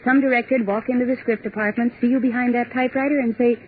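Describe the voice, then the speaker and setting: Actress as a high-voiced, timid young typist. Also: female voice, fairly even intonation, quick pace, natural voice. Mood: tired, teasing. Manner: earnest, fretful. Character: timid, squeamish young female typist, good-natured and easily scared. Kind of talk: 1940s radio acting. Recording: tinny, noisy 1940s radio recording.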